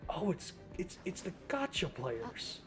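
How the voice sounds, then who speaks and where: Young male streamer, casual play-by-play, joking and excitable, soft game music in the background. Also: high voice, measured pace. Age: young adult. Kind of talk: casual gameplay commentary.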